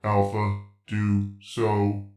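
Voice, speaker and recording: An obedient metal servant in an old-time radio play. The delivery is extremely slow and stilted, without any inflection. It rings with a strong echo. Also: male voice, fairly low pitch. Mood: flat, servile. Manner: flat, stilted, speaks of itself by name. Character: slow obedient robot servant made of aluminum. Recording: echoey, with music behind.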